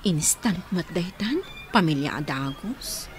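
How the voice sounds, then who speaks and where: A woman's voice: on the low side, lively intonation, measured pace, slight background noise. A middle-aged woman in a radio drama playing a playful, hesitant woman who is being courted. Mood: teasing.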